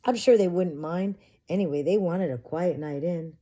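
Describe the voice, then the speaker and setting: A woman's voice: lowish voice, moderate pace, dark tone, close to an everyday voice. A woman voicing cheeky, eager young elephant children in a storybook, with a childlike, pleading manner. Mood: curious, matter-of-fact.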